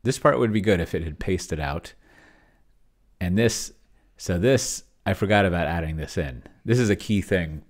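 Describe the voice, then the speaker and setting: A middle-aged man giving casual think-aloud screencast commentary, halting and muttering as he types. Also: deep voice, expressive. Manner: halting, mutters while typing. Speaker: host of a solo roleplaying experiment vlog. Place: small indoor room with bookshelves.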